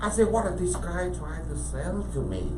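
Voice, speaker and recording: A man's voice: high voice, normal speed. Cambodian-accented man telling his story. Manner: animated storytelling with frequent restarts. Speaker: interview guest, business owner. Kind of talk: casual storytelling interview. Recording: echoey room, mic at a distance, faint background noise.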